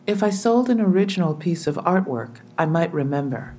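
Woman playing a skeptical, animated police interrogator. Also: lowish voice, moderate pace, a little hiss or hum, lightly acted. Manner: pointed, skeptical. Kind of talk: audio drama dialogue.

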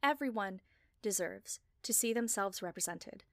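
A woman delivering a scripted personal talk as a podcast creator, with measured, articulate phrasing and deliberate emphasis. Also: mid-range voice, expressive, fairly bright tone, dry recording.

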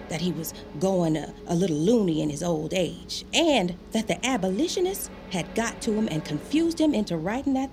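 A woman's voice: medium pitch, gravelly. A woman playing a practical, well-informed 1860s servant woman in an audio drama. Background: music.

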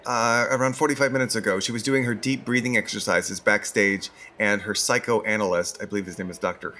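A man's voice: on the low side, fairly even intonation, a little fast, sharp tone, slight background noise. A man playing a worried, harried theater staffer in a scripted comedy audio drama.

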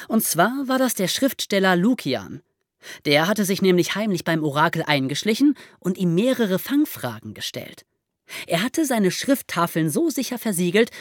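A woman hosting a podcast, explaining history as lively storytelling in simple, conversational terms. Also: lowish voice, no echo, clean studio sound. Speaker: podcast host telling history stories.

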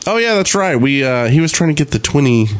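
A man's voice: on the high side, very animated, fast talker, a little hiss or hum. A man chatting casually as a podcast co-host about gaming.

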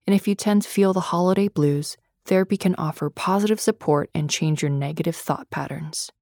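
A woman with a notably deep voice delivers a scripted podcast ad. The sound is close and very dry. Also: a little fast, darkish tone, dry recording. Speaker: advertisement reader.

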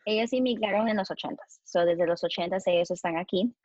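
A woman's voice: mid-range voice, brisk, a little mellow, no echo, lo-fi sound. A woman in her thirties from New Jersey, chatting relaxedly as a podcast guest and dropping in English words. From United States, New Jersey. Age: 30s. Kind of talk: casual interview chat.

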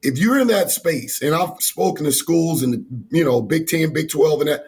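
Hoarse, earnest basketball coach. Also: male voice, a little high, normal speed, darkish tone. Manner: earnest, rambling with restarts. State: losing his voice, hoarse. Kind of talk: interview answers.

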